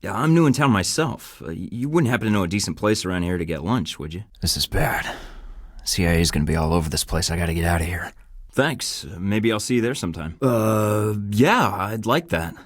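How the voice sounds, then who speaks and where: A deep-voiced, cocky American spy. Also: male voice, expressive, brisk, dry recording, clean studio sound. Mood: flirty. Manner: wisecracking, flirty and menacing by turns. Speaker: game protagonist. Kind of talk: video game voice acting.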